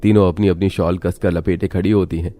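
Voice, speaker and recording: A man with a very low voice serves as narrator. He delivers scripted story narration. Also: steady tone, fairly quick, dark tone.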